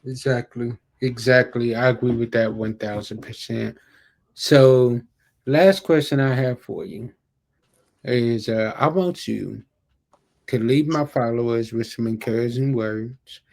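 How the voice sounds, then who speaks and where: A man's voice: medium pitch, a little slow, vocal fry, a little mellow. A man hosting an interview, a preacher telling anecdotes in an informal, colloquial way with words repeated for emphasis. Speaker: podcast host, preacher. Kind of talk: casual chat, anecdotal storytelling.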